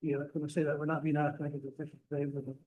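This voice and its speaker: A man on a township council speaking haltingly, with frequent hesitations. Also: a little high, level tone. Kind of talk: council meeting discussion. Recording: tinny lo-fi meeting audio.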